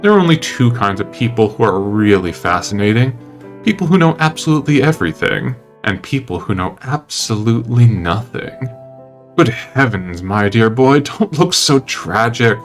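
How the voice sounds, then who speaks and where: Man acting a languid, cynical aristocratic gentleman. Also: medium pitch, very animated, moderate pace, lightly acted. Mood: languid, amused. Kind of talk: dramatic audiobook character reading. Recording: music under the voice.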